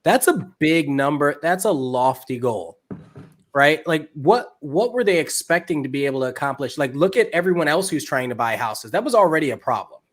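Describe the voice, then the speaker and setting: A man's voice: on the high side, expressive, moderate pace. A man who is a regular co-host, earnestly explaining a point on a casual livestream.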